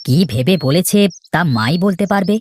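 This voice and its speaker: A woman voicing a sensible, hardworking eldest brother in a children's cartoon, firm and gently scolding. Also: fairly low pitch, moderate pace, a little hiss or hum, close to an everyday voice. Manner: steady, scolding, big-brotherly.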